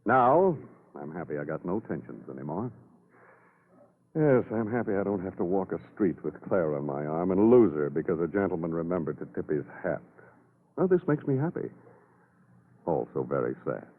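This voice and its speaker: A man's voice: sits low, big pitch swings, moderate pace, full character voice. An actor playing a cynical, wisecracking playboy businessman with sardonic slangy delivery in 1950s radio drama style. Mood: wry, jaded. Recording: tinny old radio broadcast.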